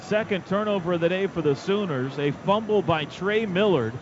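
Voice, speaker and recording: A man's voice: high voice, normal speed. Man calling football on radio, noisy dull sound. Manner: clipped, urgent play calling. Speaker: play-by-play announcer. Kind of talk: live radio football play-by-play commentary. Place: stadium radio booth.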